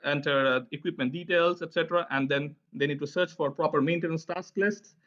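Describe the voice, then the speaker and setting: A man's voice: fairly high pitch, fairly even intonation, a little fast, a bit bright. Man presenting, lo-fi audio. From India. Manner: friendly, chatty, many filler "uh"s. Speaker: presenter at an online tech session. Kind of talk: conference presentation. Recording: dull, lo-fi online audio.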